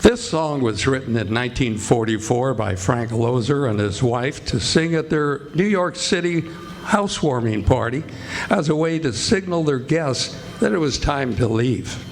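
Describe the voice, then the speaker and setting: A man's voice: mid-range voice, expressive, normal speed, slight background noise. A man giving scripted introductions as the announcer of a live stage show. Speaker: announcer, master of ceremonies. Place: stage before a live audience.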